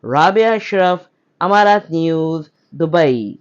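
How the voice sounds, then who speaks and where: Higher-pitched man reading news. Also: lively intonation. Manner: formal announcing delivery. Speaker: news reporter. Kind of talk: scripted news narration. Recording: dull lo-fi voice-over.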